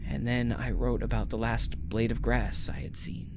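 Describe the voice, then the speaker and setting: A man's voice: medium pitch, animated, moderate pace, noisy background, close to an everyday voice. A man playing a desperate condemned poet in a dystopian audio drama, with an intense, dramatic delivery. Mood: feverish recounting. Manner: intense, pleading, stammering when distressed. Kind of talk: dramatic audio drama acting with first-person narration.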